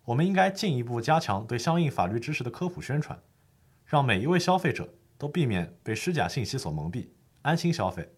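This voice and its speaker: A man's voice: mid-range voice, big pitch swings, a little fast, breathy edge. A man playing a patient lawyer who explains the law formally and methodically in a scripted educational audio drama. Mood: approving, earnest.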